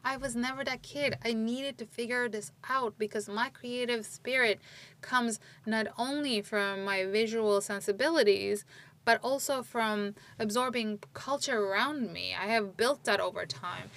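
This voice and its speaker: A woman's voice: on the high side, measured pace, a bit bright. A woman in her forties, from Turkey, telling her story in a casual conversation, rambling and restarting her sentences. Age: 40s.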